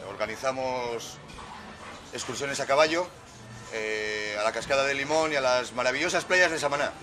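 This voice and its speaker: A man from Asturias in Spain, speaking casually and haltingly with fillers as an ecotourism guide. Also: medium pitch. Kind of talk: casual interview answer. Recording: music underneath.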